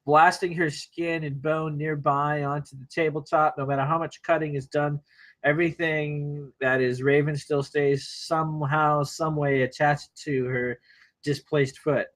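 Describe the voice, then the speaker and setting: A man's voice: fairly high pitch, little pitch movement, unhurried, fairly bright tone. A man narrating a screenplay table read, reading action lines aloud informally with wry asides.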